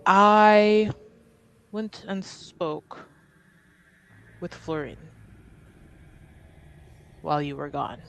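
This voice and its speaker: A woman playing a courteous, measured young noblewoman in a tabletop game, speaking formally and carefully. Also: on the low side, creaky, a little hiss or hum, heavily acted.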